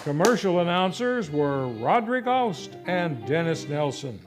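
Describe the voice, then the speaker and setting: A male series host delivers a scripted vintage radio sign-off from a theater stage. His voice sits high, with formal, showy phrasing over clearly audible music. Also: very animated, a little slow. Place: theater stage, live broadcast. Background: live music.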